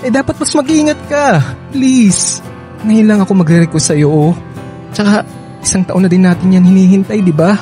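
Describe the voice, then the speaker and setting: An actor playing a concerned, protective young husband, emotive and insistent, in radio drama dialogue. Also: male voice, sits high, normal speed, mellow, natural voice. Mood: pleading. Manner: emotive, pleading, stammering with concern. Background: faint music.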